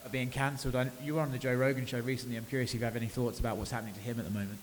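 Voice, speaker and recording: Man, reporter, very fast talk, long questions, reverberant room, light hiss. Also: mid-range voice, level tone. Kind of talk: press interview questions. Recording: echoey hall with faint hiss.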